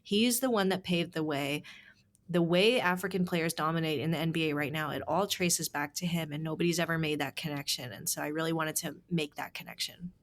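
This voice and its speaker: A woman's voice: low-pitched, brisk, slight background noise. A young adult woman author being interviewed, speaking earnestly and with animation, often saying "like" in casual chat. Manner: earnest, animated, heavy use of "like". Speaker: author and staff writer, guest.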